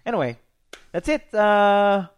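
A man's voice: high voice, animated, slow, smooth. A man co-hosting a casual podcast, laughing often and rambling through restarts. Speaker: podcast co-host. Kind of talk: casual chat.

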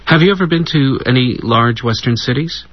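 A male radio presenter introducing a documentary segment and asking measured, inquisitive questions. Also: mid-range voice, no room sound, highs cut off.

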